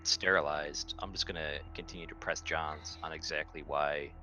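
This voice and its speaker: Deep, bright-edged male tabletop player. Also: fairly even intonation, normal speed, soft background music. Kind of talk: casual tabletop role-play talk.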